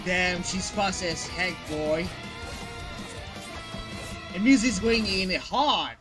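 A man in his twenties with a high-pitched voice hosts a reaction video. He talks slowly and casually in slangy, repeated exclamations, with faint music behind him. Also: expressive. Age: 20s. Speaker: reaction video host, gamer. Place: small bedroom, indoors.

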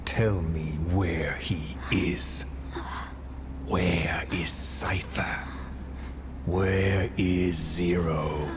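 A low, menacing interrogator. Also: male voice, steady tone, background noise. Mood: quietly threatening. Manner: measured, pausing between short phrases. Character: menacing, cold, deliberate male interrogator. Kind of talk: video game cutscene acting.

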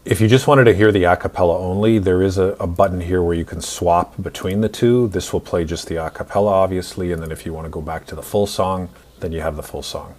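A man in his forties narrating a screen-recorded software tutorial in an instructional, step-by-step way. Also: low-pitched, steady tone, fast talker, hardly any pauses, slight background noise. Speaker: tutorial host.

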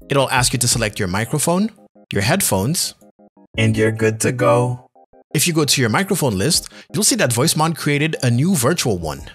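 A man narrates a scripted tech promo in an upbeat way. His mid-range voice moves up and down with plenty of animation, and music plays softly behind him. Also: mid-range voice, slightly rough. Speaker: tech presenter.

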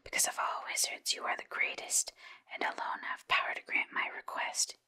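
A woman's voice: normal speed, pushed and bright. A woman voicing a cowardly male lion from a storybook in a formal, grandiose whisper. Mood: humble pleading.

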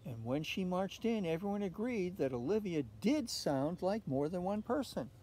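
An older man reading a picture book aloud outdoors in an expressive way, putting questions to young listeners. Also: high voice, moderate pace. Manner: expressive, asks tag questions. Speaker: storybook reader. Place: outdoors in a leafy fenced yard. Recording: outdoor recording with faint ambient noise.

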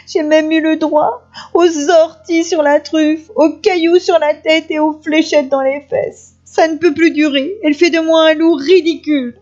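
A put-upon storybook wolf, voiced by a woman, pitched very high and plaintive. He is a soft-hearted, whiny creature who pleads his case. The recording is slightly dull. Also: fairly even intonation, a little slow. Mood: tearful, aggrieved. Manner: sobbing, plaintive complaints. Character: whiny, put-upon male wolf, turning sugary sweet. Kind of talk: children's storybook character voice.

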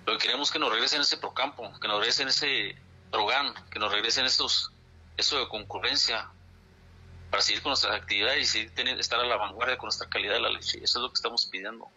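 An indignant man presses his demands over a telephone line, hammering the same points again and again. His voice is husky but strikingly bright and sharp, with a forceful edge. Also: mid-range voice, fast. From Chihuahua, Mexico. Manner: indignant, insistent, repeats for emphasis. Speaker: dairy farmers' leader. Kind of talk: phone interview statement. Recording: phone line.

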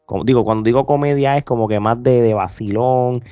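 Mellow man podcasting. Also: mid-range voice, a little fast, dry recording. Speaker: podcast host. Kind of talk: casual reaction podcast chat. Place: indoor room, remote video call. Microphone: close desk podcast microphone with headphones.

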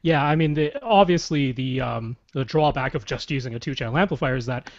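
A man's voice: medium pitch, fairly quick. A young man with a mid-range voice who explains things with eager energy and laughs easily. He co-hosts a casual podcast from a small room at home. The call audio is a bit dull. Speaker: podcast co-host. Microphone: large studio mic on boom arm.

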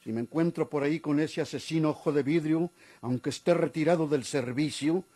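Vengeful ex-lawman man acting, Mexican: mellow tone, defiant, declamatory, old radio serial style. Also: a little high, fairly quick, natural voice. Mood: bitter, defiant. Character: vengeful, defiant retired lawman sworn to kill outlaw.

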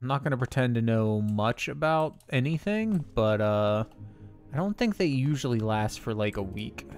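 A man's voice: mid-range voice, big pitch swings, moderate pace, a little mellow, faint music behind. A man streaming a game, chatting casually with viewers and laughing often, his nose a little stuffy. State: slightly congested, stuffy nose. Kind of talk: casual gameplay commentary and chat.

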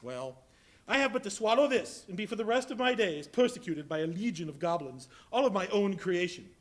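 Casting: man as cantankerous old miser for stage drama; high, theatrical, widely swinging delivery. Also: lively intonation, brisk. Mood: defiant, scoffing. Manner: theatrical, exclamatory. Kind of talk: live stage acting. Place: live on a college hall stage before an audience.

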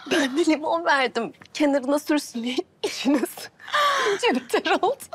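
High-voiced wry young sister. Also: female voice, lively intonation, moderate pace, a little hiss or hum. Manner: wry, colloquial. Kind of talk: TV drama acting. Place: bedroom. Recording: faint music behind the voice.

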